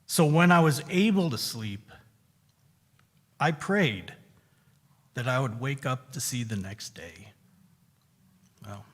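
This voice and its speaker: A middle-aged man, a veteran guest speaker, giving a measured, conversational reflection at a synagogue service. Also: mid-range voice, expressive. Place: synagogue sanctuary. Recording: reverberant hall.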